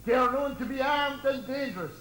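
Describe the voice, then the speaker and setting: A man's voice: very high-pitched, brisk, fairly bright tone. An Irish man playing a comic local radio DJ who reads mock news in a theatrical, mock-serious way on a pantomime stage. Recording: echoey stage recording with background noise.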